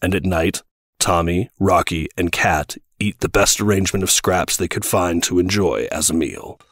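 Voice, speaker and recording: Very deep-voiced male narrator. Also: normal speed, vocal fry, fairly bright tone, no room sound, studio quality. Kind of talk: scripted audio drama narration.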